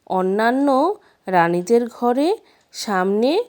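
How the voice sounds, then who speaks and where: A woman narrating a scripted fairy tale in an expressive storybook manner, with deliberate pauses. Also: fairly high pitch.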